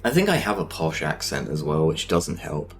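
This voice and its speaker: A man's voice: rumbling low, very animated, moderate pace, soft background music. A man with a Southern English accent chatting casually as a streamer while he paints, rambling and self-deprecating with lots of fillers. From Southern England.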